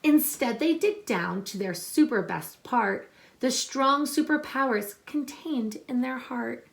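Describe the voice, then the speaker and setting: A woman teacher reading a picture book aloud to children, expressive and instructive, pausing to explain and ask questions. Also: on the high side, creaky. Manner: deliberate, instructive, addressing young listeners with questions.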